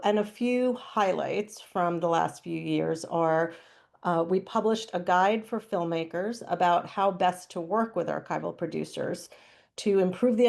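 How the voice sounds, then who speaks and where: A woman on a video call giving a steady, prepared town hall presentation. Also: fairly low pitch, gravelly. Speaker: panelist, archival producer.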